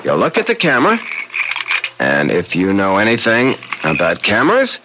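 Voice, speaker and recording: A man's voice: on the low side, wildly swooping pitch, normal speed, music in the background, poor audio. An actor playing an earnest, evasive man who reasons and protests in a placating way in a seventies radio drama. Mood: reasoning, protesting. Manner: earnest, placating, hesitant under pressure. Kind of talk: 1970s radio drama acting. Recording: hissy 1970s radio drama recording.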